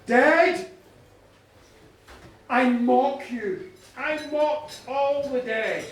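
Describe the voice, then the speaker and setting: A man's voice: very high-pitched, moderate pace. A man voicing a grieving, bitterly emotional widower in a told folk tale, declaiming emphatically with repeated phrases. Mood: angry, anguished. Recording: distant mic, very echoey space, faint noise.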